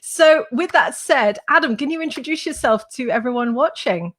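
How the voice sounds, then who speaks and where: A woman's voice: high-pitched, animated, moderate pace, fairly bright tone. Upbeat woman hosting a video call. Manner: upbeat, chatty, welcoming. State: slightly hoarse from a cold. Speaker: host and school founder. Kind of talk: live-stream interview. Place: home room with shelves. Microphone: webcam on video call.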